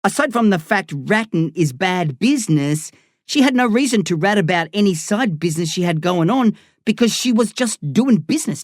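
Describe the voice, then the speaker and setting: A man's voice: sits high, no echo, studio quality, pitched above the performer's own voice. A man voicing a volatile young drug boss who swaggers, taunts and swears, as a character in an audiobook.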